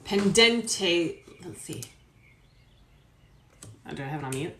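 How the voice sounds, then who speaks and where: A woman's voice: lowish voice, expressive, slow pace, fairly bright tone, slight background noise. A woman hosting a dramatic transcript reading, giving casual, sardonic asides and swearing freely. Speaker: host reading a court transcript with commentary. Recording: roomy echo.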